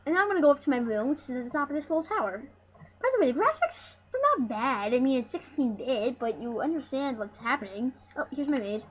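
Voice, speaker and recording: A woman's voice: sits high, expressive, fast, breathy edge. A woman hosting a let's play, rambling and joking with casual swearing as she comments and reads game dialogue aloud. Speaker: let's play host and player. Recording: muffled lo-fi mic with background noise.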